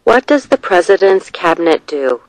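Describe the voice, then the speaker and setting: A narrator reading scripted test questions and short answers in a measured, deliberate way. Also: medium pitch, very animated, dry recording, rough recording.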